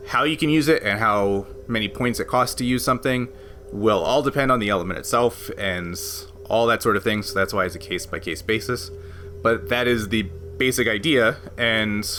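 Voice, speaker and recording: A man's voice: on the low side, sharp tone. A man hosting a tabletop game podcast, explaining a rules system at length in a casual way, with frequent laughs. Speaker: game master and podcast host.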